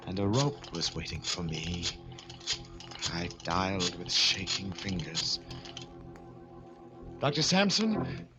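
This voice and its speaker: An actor playing a guilty, frightened man confessing in an old-time radio drama, swinging from hushed to panicked. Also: medium pitch. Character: guilty, frightened wife-poisoning man narrating his confession.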